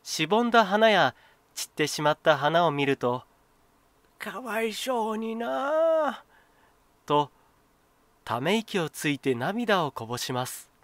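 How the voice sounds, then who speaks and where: A man reading a children's folktale as a storyteller, in an expressive, clearly phrased scripted style. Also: fairly high pitch, unhurried, no room sound.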